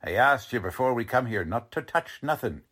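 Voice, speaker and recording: An actor playing a gruff, bossy police lieutenant with tough-guy slang and brusque wisecracks, in 1940s radio drama style. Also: medium pitch, expressive, normal speed, fairly bright tone. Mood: commanding. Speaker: police detective character.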